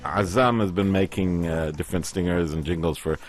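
A man's voice: sits low, brisk. A man in his thirties hosting a morning radio show, chatting casually and joking with his co-hosts in a studio. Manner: chatty, jokey, puts on silly voices. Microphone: studio microphone.

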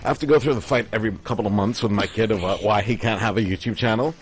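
A man's voice: lowish voice, rapid-fire. A man in his thirties hosting a morning radio show, chatting casually and joking with his co-hosts in a studio. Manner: chatty, jokey, puts on silly voices. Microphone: studio microphone.

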